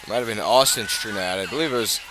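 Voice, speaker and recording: An American man working as a radio play-by-play announcer, commentating live on a football game, measured between plays and swelling with the action. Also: fairly low pitch, over music, low-quality recording.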